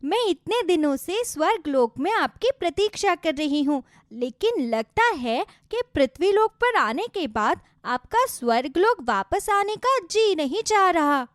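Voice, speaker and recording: A woman voicing a lovelorn young goddess in a cartoon, plaintive, dramatic and gently reproachful. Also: very high-pitched, sing-song, unhurried, hardly any pauses, dry recording, clean studio sound, pitched above the performer's own voice, heavily acted. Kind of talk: Hindi moral-story cartoon voice acting.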